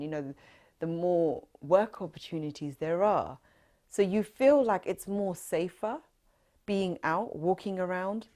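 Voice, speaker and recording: Woman, 30s, London accent, low voice, earnest interviewee in an oral history interview. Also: darkish tone. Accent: London English. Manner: reflective, earnest, with many fillers.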